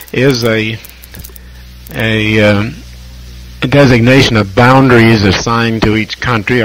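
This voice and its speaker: An American man with a fairly low voice talks over vintage shortwave radio. He chats casually as an amateur operator, picking his words deliberately and reflectively, with halting phrases and long pauses. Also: rough recording. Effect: shortwave radio transmission. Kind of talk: casual ham radio conversation.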